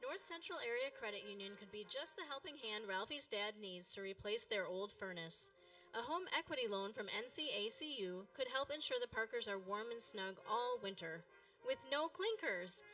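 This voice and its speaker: A woman's voice: fairly high pitch, pushed and bright, distant mic. A woman announcer reading a scripted radio sponsor message.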